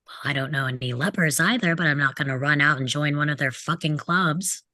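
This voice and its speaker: A woman's voice: low voice, a little fast, pushed and bright, dry recording, studio quality. A woman playing a sarcastic, rebellious teenage boy in a script table read, with a mocking, sardonic delivery. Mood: scornful, taunting. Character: sarcastic rebellious male teenage troublemaker, taunting and cynical.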